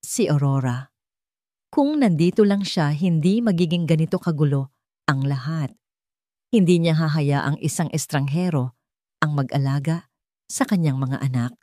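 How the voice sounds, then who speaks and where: A stern rich grandmother, as a female narrator puts her on for an audio love story. She is imperious and grieving, guarding her family with cold, commanding warnings. The voice sits fairly low. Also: measured pace, long pauses, mellow, no echo, clean studio sound. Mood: pained, bitter.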